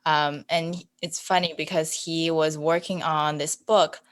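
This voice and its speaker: A woman's voice: deep voice, level tone, unhurried. A woman in her twenties chatting casually as a podcast guest, informal and self-deprecating in manner. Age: 20s. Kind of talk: casual chat.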